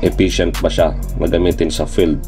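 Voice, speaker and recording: A man's voice: low voice, level tone, normal speed. A man with a Filipino accent giving a casual product review as a vlogger. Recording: loud music behind the voice.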